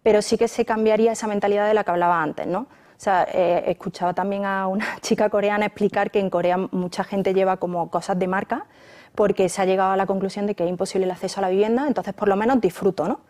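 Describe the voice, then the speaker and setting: A woman's voice: medium pitch, steady tone, quick pace, darkish tone. Young mother with a regional Spanish accent. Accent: non-Madrid Spanish regional accent. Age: young adult. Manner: fluent, fact-heavy, frequent fillers. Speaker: guest. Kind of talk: casual interview chat.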